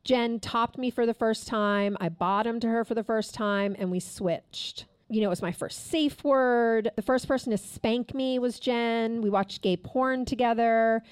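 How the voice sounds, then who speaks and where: A woman's voice: a little high, fairly even intonation, smooth, no room sound. Candid woman reading her memoir. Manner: frank and candid, reads with measured pauses. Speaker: guest author. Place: live taping at a store event.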